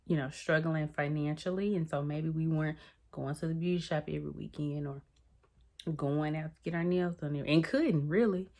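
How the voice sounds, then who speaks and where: Low-voiced woman, 30s, chatty vlog talk, calls everyone y'all. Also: moderate pace. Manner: chatty, addresses viewers as y'all, lively swings in pitch. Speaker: vlogger. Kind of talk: casual chat to the camera.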